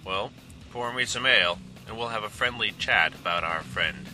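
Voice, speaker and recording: A man voicing a gruff grown man who talks bluntly and commandingly. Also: fairly low pitch, normal speed, bright, forceful tone, soft background music.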